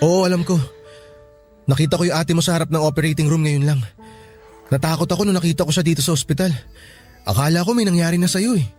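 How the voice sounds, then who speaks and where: A man's voice: fairly high pitch, fast, pauses often, a little mellow, soft background music, close to an everyday voice. A man playing a polite, good-natured young boyfriend eager to please in a radio drama. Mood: concerned.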